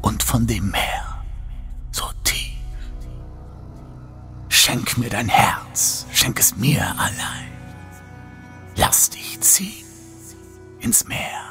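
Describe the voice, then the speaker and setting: A man voicing a siren's luring song in a soft whisper from a distance. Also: medium pitch, big pitch swings, extremely slow, long pauses, faint music behind, full character voice. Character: luring sea siren, female, singing softly.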